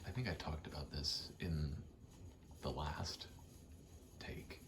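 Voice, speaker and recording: Very deep, whispery man rambling softly. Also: slow pace. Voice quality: breathy, often dropping into a whisper. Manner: rambling, casual, sweary, self-deprecating. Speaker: video creator rambling to camera. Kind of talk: soft-spoken ASMR ramble. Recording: clear background noise, rattling vent. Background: rattling vent noise.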